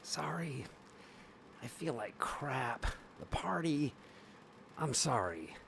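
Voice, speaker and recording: Gravelly, harried stage magician played by a man. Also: medium pitch, very animated, slow, fairly bright tone, slight background noise, heavily acted. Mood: weary, irritable. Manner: hesitant, placating, sarcastic. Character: harried, evasive stage magician and absent father. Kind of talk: audio drama acting.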